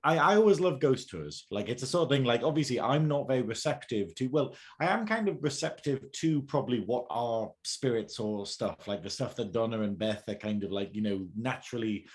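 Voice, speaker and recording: Mid-pitched man in casual podcast chat. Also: expressive, brisk, few pauses. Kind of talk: casual, jokey group chat.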